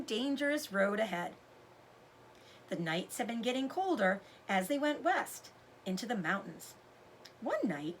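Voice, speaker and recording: A woman giving an expressive read-aloud narration of a children's novel. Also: on the high side, a little hiss or hum.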